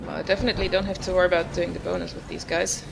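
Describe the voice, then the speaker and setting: A woman's voice: fairly low pitch, flat delivery, very fast, creaky, low-quality recording. A woman giving casual gameplay commentary as a video host, musing aloud in an offhand way. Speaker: gaming video host, player. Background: loud game music.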